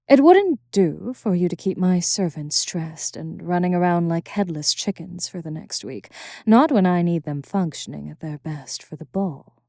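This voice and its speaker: A woman playing a haughty, composed vampire noblewoman in an ASMR roleplay, speaking formally and condescendingly in a soft voice that often drops toward a whisper. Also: on the low side, dry recording, clean studio sound. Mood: businesslike, haughty. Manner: formal, aloof, condescending, deliberate. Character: haughty, composed vampire noblewoman, stern captor with teasing edge. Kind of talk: ASMR roleplay audio drama.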